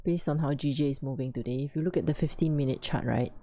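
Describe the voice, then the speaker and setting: A woman hosting a casual trading livestream, talking quietly with a sore throat as she answers questions from chat. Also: deep voice, fast talker, a little hiss or hum. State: sore throat, losing her voice. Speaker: forex trading livestream host.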